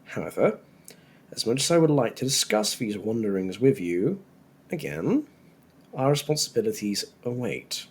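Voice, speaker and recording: A man playing a cursed tiger-man scholar in an audiobook, dry and world-weary, with formal, measured wording and a husky voice. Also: mid-range voice, very animated. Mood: grave, measured. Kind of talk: audiobook character dialogue.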